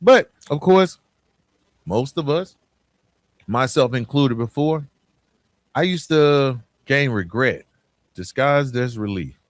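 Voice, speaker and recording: A man's voice: medium pitch, animated, slow, pauses often, dry recording. Emphatic American man, motivational podcast host. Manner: emphatic, colloquial, direct address with rhetorical questions and repetition. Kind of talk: motivational solo podcast talk.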